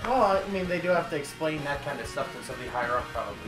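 A man's voice: on the high side, animated, rapid-fire. A young man co-hosting a gaming show, answering his partner with short reactions and dry quips. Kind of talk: casual commentary chat over gameplay. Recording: roomy recording with game music behind.

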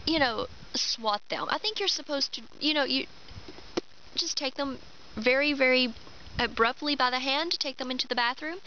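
A woman's voice: sits high, normal speed, pushed and bright. A woman in her twenties with a Kentucky Southern accent, talking casually and animatedly to her camera outdoors in a pine wood, laughing often. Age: 20s. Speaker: vlogger. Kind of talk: casual opinion vlog. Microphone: camera mic, head-and-shoulders framing. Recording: dull lo-fi outdoor audio with clear background noise.

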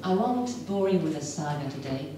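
Polish lady telling her life story on stage, lowish voice, lots of uhs, big echoey hall, mic heard from far off. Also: lively intonation, warm tone, a little hiss or hum. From Poland. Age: middle-aged. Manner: hesitant, many filler pauses. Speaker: storyteller at a community event. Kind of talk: personal story told to an audience. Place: large wooden hall with stage. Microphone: handheld mic, heard from the hall.

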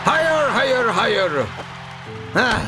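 A man voicing an eccentric, lazy old scientist in a cartoon, excitable and theatrical, muttering and exclaiming. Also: extremely high pitch, very slow, over a noisy crowd, exaggerated voice, low-quality recording. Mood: frustrated. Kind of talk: animated cartoon dubbing. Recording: music behind the voice.

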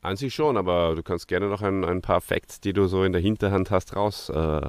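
Man, lowish voice, podcast host, casual review chat, dry room sound. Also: fairly quick, clear, resonant tone, no room sound.

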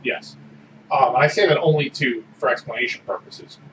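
A man chats about a beer on a podcast, reflective and animated. He is picked up in a roomy space from some distance away. A clear, steady hiss sits under a dull recording. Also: medium pitch, moderate pace. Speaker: podcast co-host. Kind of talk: casual beer-tasting chat. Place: small room with orange walls. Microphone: desk mic on boom arm.

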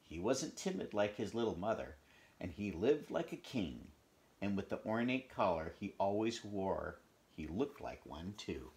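A man in his fifties reads a children's story aloud. His fairly low voice swings up and down expressively as he tells it, recorded in a small room at home. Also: a little slow. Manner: animated storytelling with asides to the listener. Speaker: storybook reader.

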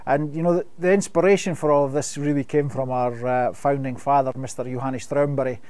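A middle-aged Scottish man answering webinar questions on a video call, measured and full of fillers and restarts. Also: mid-range voice, steady tone, darkish tone. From Scotland. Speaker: company sustainability expert.

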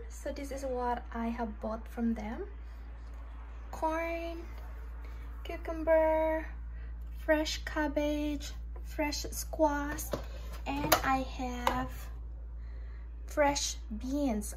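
Cheerful high-voiced woman vlogging. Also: slight background noise, rough recording. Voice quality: non-native English, simple phrasing. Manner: excited, cheerful, chatty with her child. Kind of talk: casual vlog chat. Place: outdoors on a vegetable farm, then a home kitchen. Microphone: phone camera in hand.

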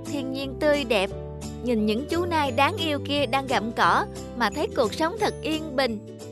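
Woman as a high-voiced, eager little tree sprite. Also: animated, measured pace, over music. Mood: earnest, concerned. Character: earnest, naive young tree sprite, eager and tender-hearted. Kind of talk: cartoon character acting.

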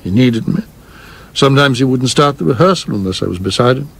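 An actor in a radio play as a cautious, scholarly theater producer, measured and formal, with a dry wit. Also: male voice, medium pitch, lively intonation, vocal fry, husky. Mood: wry, reflective. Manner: measured, literal, dryly witty, formal wording.

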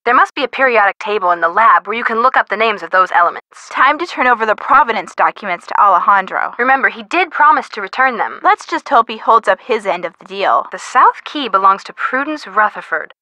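An actress playing a helpful, nudging hint-giver in a mystery video game, chatty and encouraging with clear enunciation. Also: female voice, medium pitch, expressive, brisk, few pauses, sharp tone, dry recording, rough recording. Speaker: game character giving hints to the player. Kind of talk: scripted video game dialogue.

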